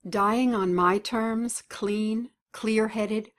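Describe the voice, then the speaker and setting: A woman's voice: medium pitch, fairly even intonation, extremely slow. An actress playing a dying older mother who talks in a blunt, folksy, wry way. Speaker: actor. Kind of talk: web drama acting.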